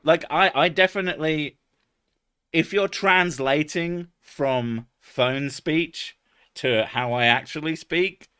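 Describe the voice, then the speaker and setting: A man's voice: sharp tone, smooth, dry recording, clean studio sound. A man, chatting casually as a podcast host, swearing freely and laughing at himself. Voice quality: deep natural voice. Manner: swears freely, laughs often, self-mocking. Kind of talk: casual chat.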